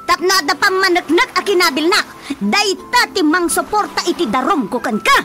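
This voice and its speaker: High-pitched, fed-up, defiant wife. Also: female voice, lively intonation, moderate pace, over loud music. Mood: angry, defiant. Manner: sharp-tongued, confrontational. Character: defiant, fed-up battered wife standing her ground. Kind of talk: radio drama acting.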